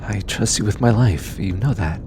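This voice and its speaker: A man playing a gentle, studious alien scientist in an intimate audio roleplay, soft-spoken and patient, hesitant when flustered. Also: on the low side, normal speed, background noise, lightly acted. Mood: flustered, shy. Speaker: voice actor. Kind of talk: intimate scripted audio roleplay, addressing the listener.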